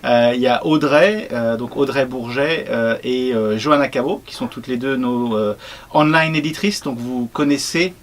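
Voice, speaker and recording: A man talks casually, presenting his radio team and the station's past. He pauses often to search for words. His voice sits in a middle register. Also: mid-range voice, a little hiss or hum. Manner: relaxed, hesitant with many fillers. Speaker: radio program head.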